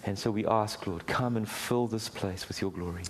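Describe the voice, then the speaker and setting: A middle-aged man preaching a sermon in a church, with a booming voice and an earnest, conversational delivery full of small restarts. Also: normal speed. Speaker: guest preacher. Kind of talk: sermon with prayer. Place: church hall.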